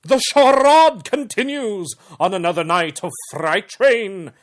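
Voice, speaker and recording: A man narrating a radio mystery drama in a dramatic, measured scripted style. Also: sits high, expressive. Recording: narration over loud music.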